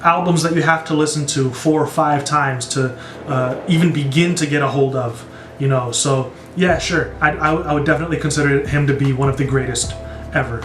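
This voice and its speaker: A man's voice: a little high, a little fast, a bit bright, a little hiss or hum. A young man in his twenties with an American accent, answering viewer questions casually and enthusiastically as a video host. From born in Brazil, grew up in the United States from age three. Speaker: YouTube music reaction host. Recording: roomy echo with music behind. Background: background music.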